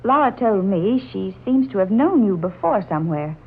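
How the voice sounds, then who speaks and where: An actress playing a breezy, inquisitive wife and friend in a 1940s radio drama. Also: female voice, medium pitch, expressive, normal speed, husky. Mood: curious. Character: chatty, curious wife and matchmaking friend. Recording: tinny, hissy old 1940s radio recording.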